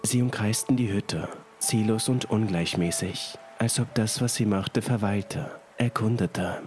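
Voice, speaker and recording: Low-pitched male horror narrator. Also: measured pace, a little mellow. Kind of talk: scripted first-person horror narration. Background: music and rain sounds.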